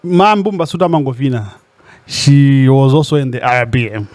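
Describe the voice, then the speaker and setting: A Malawian man co-hosting a podcast, playful and laughing, swearing casually in relaxed chat. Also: medium pitch, normal speed. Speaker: podcast co-host.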